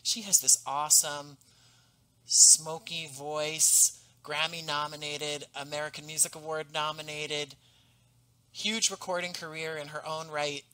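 A man in his thirties, a guest talking about his audio drama in an animated, enthusiastic way over a headset on a video call from home. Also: a little high, measured pace, smooth, low-quality recording. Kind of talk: casual interview. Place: home room, indoors.

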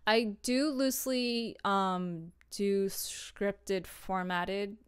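A woman's voice: mid-range voice, steady tone, drawn-out words, clean tone. A young adult woman chatting casually on a livestream as a creator giving tips, in an informal, self-deprecating, giggly manner. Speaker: streamer and audio drama creator. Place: small home room, indoors.

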